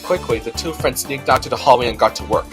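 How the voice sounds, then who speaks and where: A man's voice: medium pitch, fast talker, over music. A man giving scripted narration of a storybook as its narrator.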